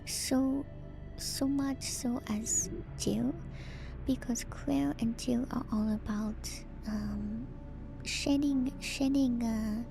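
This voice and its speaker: A woman speaks in a hushed ASMR whisper at a very unhurried pace, with a fairly high voice. She muses as she reads, pausing hesitantly like a streamer thinking aloud. Music plays clearly behind her. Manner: hushed, musing, hesitant pauses. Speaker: streamer reading and discussing game lore.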